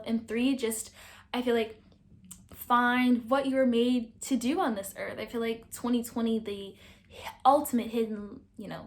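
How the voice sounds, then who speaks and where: Loud, chatty young woman, high voice. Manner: loud, animated, talkative, projects her voice. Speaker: book reviewer, channel host. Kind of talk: casual book review vlog. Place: indoors, sitting against a wall.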